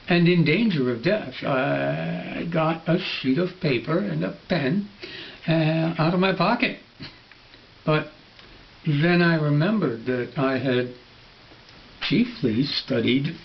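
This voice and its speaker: A man reading a storybook dramatically, in a halting, musing, stammering manner. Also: on the high side. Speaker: storybook reader. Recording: dull home recording with faint hiss.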